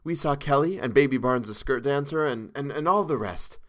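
A man's voice: medium pitch, fairly quick, a bit bright, voice pushed up, close to an everyday voice. A man puts on a young artist's model in a storybook reading. She is cheerful and girlish, chattering away in a lifted, gushing voice. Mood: chatty, excited.

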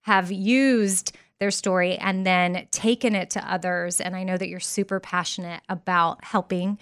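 Podcast host: woman, smooth clear voice, upbeat and friendly interview chat. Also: on the low side, fairly even intonation, a little slow, a bit bright.

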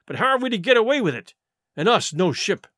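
A man voicing rough, superstitious pirate crewmen in an audiobook, with uneducated seafaring speech. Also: high voice, very animated, fast talker, fairly bright tone, no echo, clean studio sound, heavily acted. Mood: doubtful. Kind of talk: audiobook character voices.

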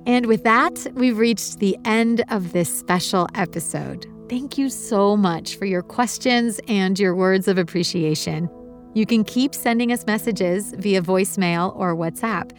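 A woman from Uruguay, the host of a podcast, reading scripted narration in a clear, evenly paced delivery. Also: mid-range voice, unhurried, faint music behind. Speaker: podcast host and executive producer.

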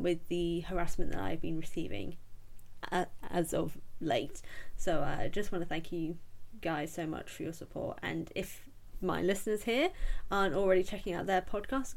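A woman's voice: on the low side, moderate pace, creaky. A British woman hosting a solo book podcast, chatting casually with a blocked nose from a cold, full of ums and little giggles. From Britain. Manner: chatty and self-deprecating, with frequent ums and giggles. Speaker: podcast host.

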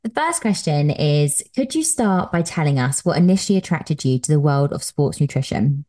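A woman's voice: low voice, expressive, normal speed, mellow. A woman hosting a podcast, reading an upbeat scripted introduction and then chatting with her guest. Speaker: podcast host, dietitian.

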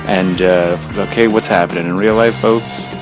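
A man's voice: low voice, fairly even intonation, normal speed, over music. A man hosting a podcast, rambling casually with lots of fillers. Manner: rambling, filler-heavy, laid-back. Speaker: podcast host.

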